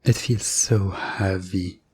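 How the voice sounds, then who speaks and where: A man narrating a hypnotic second-person story in a theatrical, deliberate way, with dramatic pauses. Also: low-pitched, fairly even intonation, a little mellow, husky.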